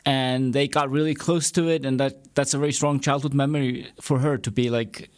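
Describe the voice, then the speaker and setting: A man's voice: medium pitch, fairly even intonation, normal speed, darkish tone, no room sound. Guy co-hosting, friendly, lots of uh's, dry close sound. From northeast Iceland. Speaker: podcast co-host. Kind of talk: casual interview conversation.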